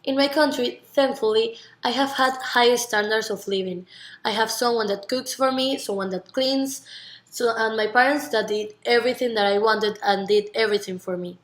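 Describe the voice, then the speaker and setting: A woman's voice: fairly high pitch, normal speed. Young Venezuelan woman in an echoey room. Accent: Venezuelan Spanish. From Margarita, Venezuela. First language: Spanish. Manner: earnest, non-native English phrasing with stumbles on words. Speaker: university student being interviewed. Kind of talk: rehearsed interview self-presentation. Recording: echoey, roomy sound.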